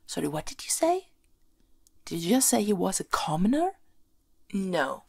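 A woman performing an ASMR roleplay as a haughty, teasing, demanding young princess, soft-spoken and close, often slipping into a whisper. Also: medium pitch, normal speed, full character voice. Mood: indignant. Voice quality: breathy, often dropping into whispers. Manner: playfully bossy, imperious, expressive.

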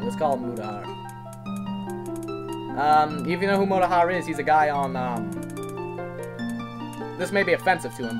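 Lively rambling let's play guy. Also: a little high. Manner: rambling, self-deprecating, swears. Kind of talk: casual gameplay commentary. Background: game music.

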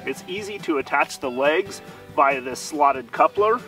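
Man in his 40s, outdoor gear reviewer, eager steady explainer, a bit higher pitched, soft music behind. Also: unhurried, rough recording. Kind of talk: product review. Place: outdoors in a dry juniper woodland campsite.

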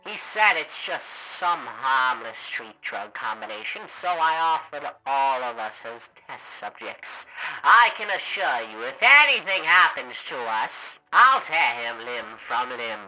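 A man playing a suave, sinister radio demon in an animated comic dub, theatrical, drawling and mockingly polite, through an old radio filter. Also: medium pitch, a little slow, soft background music, full character voice, poor audio. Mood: veiled menace.

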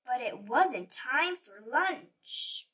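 A woman's voice: high-pitched, sing-song, very slow, bright, forceful tone, distant mic. A woman reading a children's picture book aloud expressively, with dramatic storytelling emphasis.

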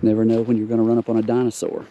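A man, giving a relaxed, easygoing tour of roadside sights outdoors, recorded on a camera mic. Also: on the low side, racing, creaky, dull audio, a little hiss or hum. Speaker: travel vlogger. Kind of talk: casual tour narration.

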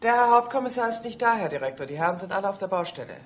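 An actress playing a middle-aged police secretary in a radio play, unflappable, polite and drily pointed. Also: female voice, medium pitch, animated, rapid-fire, slight background noise, lightly acted. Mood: dry, pointed. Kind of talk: German radio play acting.

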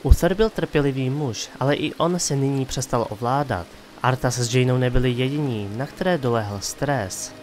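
A man's voice: medium pitch, darkish tone, slight background noise. A man hosting and narrating a scripted, dramatic fantasy story. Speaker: narrator and host. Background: faint background music.

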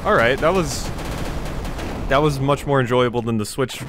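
A man's voice: medium pitch, normal speed, background noise. A man giving casual let's play commentary on a video game, thinking aloud as he plays. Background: video game music and effects.

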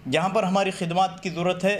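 A man's voice: high voice, fairly even intonation, fast. A man giving a methodical, formal statement to the press. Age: 30s. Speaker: political party representative. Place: small office room, seated at a table. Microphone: press microphones on the table. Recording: clearly noisy room recording.

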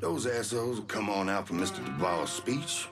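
An actor playing a menacing, bigoted Southern henchman in a video game, with a gravelly, folksy drawl. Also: on the low side, very animated, normal speed. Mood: sneering, menacing. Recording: music behind the voice.

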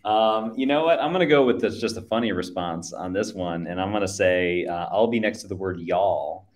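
A man's voice: low voice, normal speed. A man from Ohio co-hosting a casual video call chat in a laid-back, joking way. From Cleveland, Ohio. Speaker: podcast co-host. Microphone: video call webcam.

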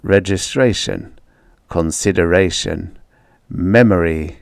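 A man reading vintage fiction as an audiobook narrator, deliberate and dramatic. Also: sits low, gravelly, no echo, clean studio sound.

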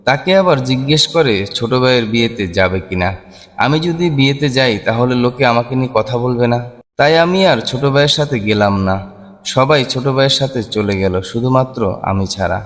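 A man reading a scripted first-person cartoon story in an earnest, sorrowful manner. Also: mid-range voice. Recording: long hall reverb. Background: music.

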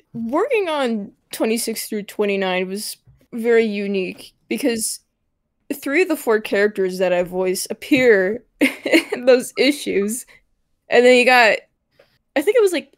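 A woman's voice: fairly high pitch, expressive, unhurried. A woman who voice acts, talking casually and cheerfully on a Q&A panel. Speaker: voice actor on a panel.

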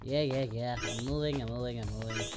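A man's voice: mid-range voice, fairly quick, loud music behind the voice. A man doing playful casual game commentary as the host, in a cartoonish character voice, exclaiming and muttering. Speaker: gaming host.

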